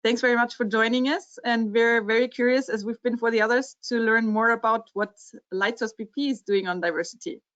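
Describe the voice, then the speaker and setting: A woman moderating an awards webinar, polite and brisk as she thanks and introduces speakers over a video call. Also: a little high, steady tone, a bit bright. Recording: dry, lo-fi video call audio.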